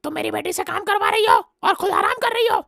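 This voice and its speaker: A woman voicing an emphatic, fretful crow father with a creaky voice in a children's cartoon. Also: very high voice, animated, quick pace, bright, forceful tone, dry recording, clean studio sound, pitched above the performer's own voice, exaggerated voice. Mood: angry scolding. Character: troubled crow father, fretful, protective of his daughter.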